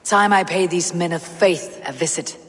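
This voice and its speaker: An actress playing a stern, resolute warrior woman in a video game, speaking in a terse, grave, deliberate way. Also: lowish voice, slightly rough. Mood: probing. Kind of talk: dramatic video game acting. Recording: reverberant hall, faint background noise.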